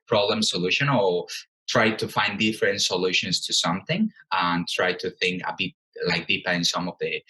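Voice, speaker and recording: A man's voice: deep voice, pushed and bright, rough recording. A man in his thirties from Colombia, whose first language is Spanish, answering interview questions thoughtfully, with frequent fillers and restarts. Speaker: guest, company co-founder.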